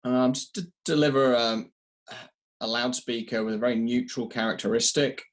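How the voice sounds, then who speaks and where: A man giving technical answers in an interview, measured and explanatory, pausing to find his words. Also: medium pitch, fairly even intonation. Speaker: audio company representative being interviewed.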